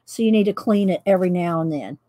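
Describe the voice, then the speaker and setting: A woman, chatting loudly while she paints and tells stories on her coloring livestream, laughing often and answering viewers. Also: on the low side, fast talker. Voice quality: loud voice. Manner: chatty storyteller, laughs often, reads live chat. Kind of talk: casual chat while painting. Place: home craft table, indoors.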